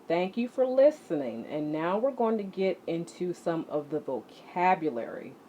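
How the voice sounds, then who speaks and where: A woman teaching children as an exercise leader, speaking deliberately and emphatically, addressing her students directly. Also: lowish voice, lively intonation, warm tone. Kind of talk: instructional lesson to children, read-along with warm-up exercises. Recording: muffled audio with clear background noise.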